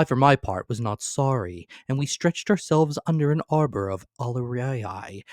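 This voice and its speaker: A man's voice: medium pitch, no echo. Theatrical man reading in a dry space. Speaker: audiobook narrator. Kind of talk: dramatic literary reading.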